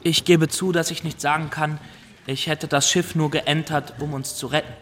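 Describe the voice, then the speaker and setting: An actor playing an earnest Somali pirate in a radio drama, giving a formal, careful account that rises into anguish. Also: male voice, on the high side, flat delivery, normal speed, a bit bright, a little hiss or hum, lightly acted. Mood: earnest, explaining.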